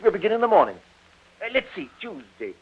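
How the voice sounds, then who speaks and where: A man's voice: high voice, sing-song, fast talker, breathy edge, exaggerated voice. A man playing a domineering, stagey middle-aged father in an old radio drama, declaiming with precise diction. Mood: insistent. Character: domineering, theatrical middle-aged father training his actress daughter. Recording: tinny, band-limited old radio recording.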